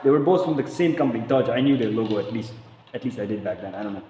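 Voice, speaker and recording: Young man from Pakistan, casual vlogger, rambling and slangy, medium pitch, very echoey room, faint hum behind. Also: steady tone, rapid-fire, dark tone. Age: young adult. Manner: rambling, slangy, says "bro" a lot, laughs often. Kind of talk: casual chat. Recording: echoey room with long reverb.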